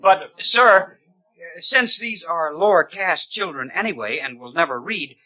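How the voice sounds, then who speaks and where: A polite, inquiring student in a 1950s radio drama. His voice is slightly high, with a bright, crisp tone. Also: expressive, a little slow, heavily acted, low-quality recording. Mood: puzzled. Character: polite, questioning male student.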